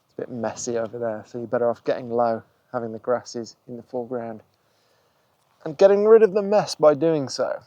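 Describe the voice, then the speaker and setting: A man of middle pitch talks through his thinking as he photographs outdoors on a windy coast. His delivery is casual and unscripted, a photographer's running commentary on his own work. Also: brisk, dark tone, dry recording, muffled recording. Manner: musing, thinks aloud while working. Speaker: photographer and channel host. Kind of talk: casual vlog narration on location.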